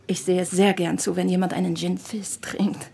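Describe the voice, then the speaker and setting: A woman's voice: on the low side, flat delivery, moderate pace, creaky, warm tone, slightly rough, exaggerated voice. An actress playing a sophisticated, whimsical and coquettish young society woman in a naturalistic radio drama, with an affected manner.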